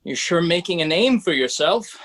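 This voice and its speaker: Casting: male actor playing profit-driven banker, insinuating and needling, slightly raised pitch, very animated delivery for musical dialogue. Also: normal speed. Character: profit-minded insinuating male bank colleague, smooth and needling. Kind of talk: musical table-read dialogue.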